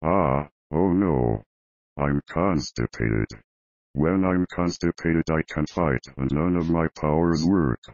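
A man's voice: a bass voice, takes it slowly, a little mellow, no echo, highs cut off. A man voicing a dim, boastful male sidekick hero with a goofy, dragging delivery in a homemade cartoon.

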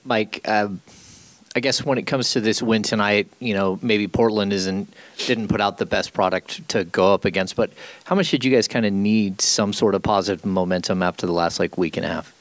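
A man's voice: on the low side, fairly even intonation, normal speed, dry recording. A male reporter asking conversational questions at a press conference.

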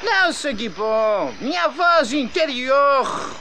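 Spaced-out Brazilian hippie surfer monkey. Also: male voice, very high-pitched, expressive, slow, pushed and bright, full character voice. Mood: dreamy. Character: laid-back mystical hippie surfer monkey pilot. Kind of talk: animated cartoon dub. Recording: clearly noisy cartoon soundtrack.

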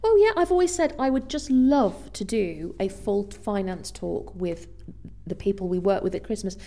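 A woman is being interviewed and explains her work conversationally. She has a mellow, soft-edged voice at an average female pitch. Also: lively intonation, moderate pace. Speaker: guest speaker, theatre finance professional.

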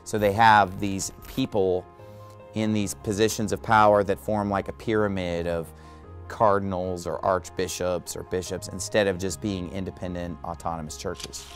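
A very deep-voiced man with a gravelly, creaky edge preaches casually, tossing in asides as he goes. Faint music plays under him. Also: flat delivery, normal speed. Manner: loose and conversational, with hedges and asides. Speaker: pastor. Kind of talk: sermon.

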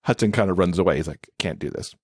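A man's voice: deep voice, fast talker, breathy edge, no room sound, studio quality. A man talking casually and enthusiastically as a guest on a podcast. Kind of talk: casual chat.

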